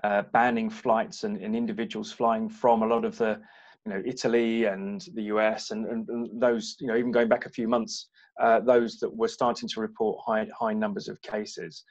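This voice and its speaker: A man's voice: on the low side, flat delivery, normal speed. A man hosting a panel discussion, who talks hesitantly with many ums and repeated words. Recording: muffled online call audio.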